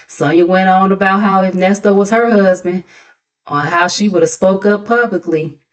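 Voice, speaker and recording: A woman's voice: mid-range voice, steady tone, a little slow, low-quality recording. An American woman hosting a gossip recap, chatting casually in a sassy, conversational way with mocking asides. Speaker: host of a gossip commentary channel.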